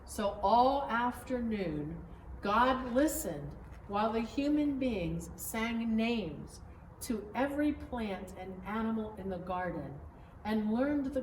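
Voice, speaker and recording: A woman pastor reading a children's story aloud in an expressive, measured storytelling manner. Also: on the high side. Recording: roomy echo, distant mic, faint noise.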